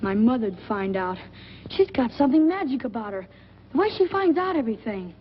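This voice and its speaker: A restless little boy, high-pitched and slangy, sulky but pally in 1940s film style. The soundtrack is dull with faint hiss. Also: sing-song, fairly quick. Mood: wistful, pally. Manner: slangy, boyish.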